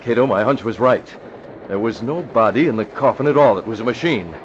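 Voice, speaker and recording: An actor playing a resolute, secretive vigilante hero in old-time radio drama, earnest and decisive. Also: male voice, mid-range voice, fairly quick, close to an everyday voice. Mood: confident. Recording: muffled, hissy old radio recording.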